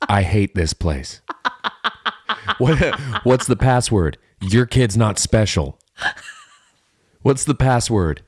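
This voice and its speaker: Deep-voiced man, joking podcast chat. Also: expressive, slow, pauses often, a little mellow, breathy edge, dry recording, clean studio sound. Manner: joking, mock-serious exaggeration. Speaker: podcast co-host. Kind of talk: casual comedic chat.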